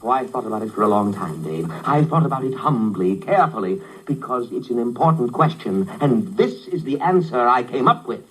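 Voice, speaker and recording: An actor playing a vain, self-pitying bohemian painter with pompous, brooding delivery in a 1950s radio drama. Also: male voice, mid-range voice, very animated, brisk, few pauses, poor audio. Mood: self-important brooding. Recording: 1950s radio broadcast, slightly husky sound.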